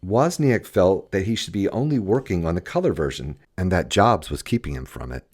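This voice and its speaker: A man with a fairly low voice narrates an audiobook history. His intonation rises and falls widely, giving the scripted reading an expressive, storytelling delivery. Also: brisk, husky. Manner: expressive storytelling, acts out quoted dialogue. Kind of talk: scripted narration of a first-person tech history memoir.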